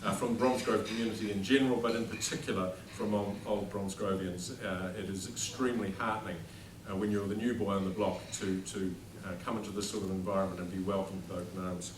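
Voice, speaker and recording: A man's voice: deep voice, fairly even intonation, quick pace, few pauses. New Zealand man speaking across an echoey hall. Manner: self-deprecating, dry jokes, speaks without a microphone to a room. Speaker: headmaster giving an after-dinner speech. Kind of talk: humorous after-dinner speech. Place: large dining hall at a formal dinner. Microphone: no microphone, recorded from across the room. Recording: distant echoey hall with background noise.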